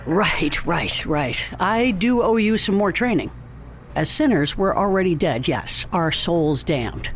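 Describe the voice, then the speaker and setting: An actor playing a measured, authoritative captain in an audio drama, speaking formally and deliberately. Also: male voice, high voice, animated, fairly bright tone, exaggerated voice. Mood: grave, musing.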